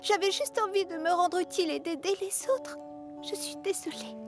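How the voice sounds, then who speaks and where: Excitable, very high-pitched young engine. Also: female voice, moderate pace, music in the background. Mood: sheepish. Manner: exclamatory, eager. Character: excitable, eager young female train engine, apologetic. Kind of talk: children's cartoon dub acting.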